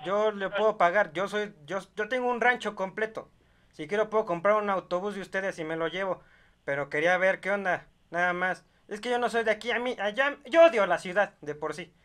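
A high-voiced old rancher , acted out by a young man, stubborn and folksy. He pleads and grumbles haltingly, circling back to the same request, in an improvised prank call. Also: a bit bright. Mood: grumbling. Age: young adult. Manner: pleading, hesitant, folksy, repetitive. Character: insistent rural rancher, older man, stubborn, grumbling, attached to his donkey. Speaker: prank caller. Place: small bedroom studio, indoors.

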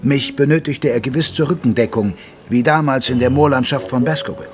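An actor playing a retired old army doctor who narrates a radio play in a measured, genteel, reminiscing way. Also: male voice, mid-range voice, over music, natural voice. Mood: reflective. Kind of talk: scripted first-person radio play narration in German.

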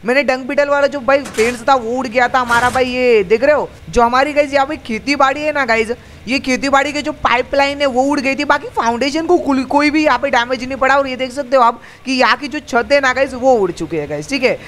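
A man's voice: very high-pitched, a little fast, fairly bright tone, slight background noise. A young man hosting a game channel, giving chatty let's-play commentary that he fills with "guys" and "bhai" at every turn. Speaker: gaming channel host.

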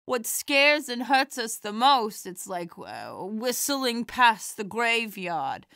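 A woman playing a kindly, proud elderly comedienne in an audio drama, genteel and gently chiding with folksy quips. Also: on the high side, very animated, slow, gravelly, dry recording, studio quality, full character voice. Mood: gentle, wry.

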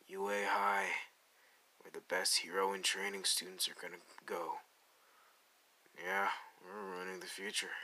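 A man playing a cool, menacing young anime villain in an ASMR roleplay, drawling and teasing in a deadpan, gravelly way. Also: deep voice, heavily acted, rough recording. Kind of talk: ASMR roleplay addressed to the listener.

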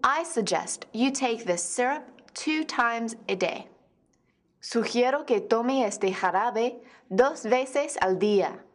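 A woman reading the lines of a doctor who gives health advice in a slow, measured way. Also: a little high, animated. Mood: advising. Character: calm adult doctor giving advice.

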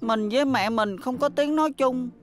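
Cartoon dub role: meek little boy, played by a woman, high voice, dutiful and worn out by study. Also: moderate pace, soft background music, natural voice. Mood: glum, earnest. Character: timid, overworked, dutiful little schoolboy. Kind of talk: children's cartoon dub acting.

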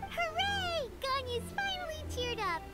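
A tiny floating fairy companion, squeaky and bubbly, her voice leaping wildly high and low. She drags her words out slowly, and game music plays clearly behind her. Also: lively intonation. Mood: cheering. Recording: game audio over background music.

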